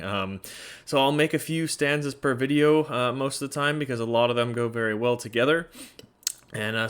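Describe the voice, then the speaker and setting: A man's voice: mid-range voice, fairly bright tone. Chatty Norwegian man explaining casually. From Norway. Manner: chatty, blunt, laughs often, many fillers. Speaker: educator presenting a series.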